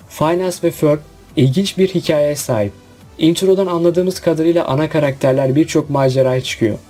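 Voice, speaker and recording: A man's voice: on the high side, mellow. A man narrating a scripted countdown review. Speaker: video narrator. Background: background music.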